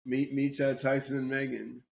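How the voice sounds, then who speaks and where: A man hosting a casual podcast chat at a middle pitch. He speaks haltingly, stumbling over repeated words and restarting his sentences as he introduces the talk. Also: monotone, gravelly, a little hiss or hum. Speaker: podcast host.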